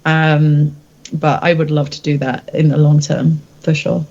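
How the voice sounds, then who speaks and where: A woman's voice: sits low, flat delivery, measured pace, a little mellow, muffled recording. A woman singer-songwriter chatting casually in an interview, candid and hesitant as she thinks aloud.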